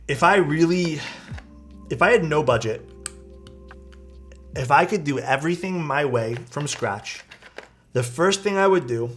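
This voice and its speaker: A man's voice: fairly high pitch, a bit bright. A young man hosting a livestream, chatting casually and rambling to his viewers from a small rented room. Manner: rambling, easygoing, talks to chat. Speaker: livestream host building keyboards. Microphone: streaming mic.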